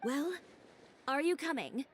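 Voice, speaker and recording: A woman's voice: sits high, big pitch swings, takes it slowly, full character voice. A woman voicing a proud, fiery-tempered female fashion designer in a video game, poised, cool and cutting in manner. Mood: commanding. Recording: game audio with music under it. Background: game score music.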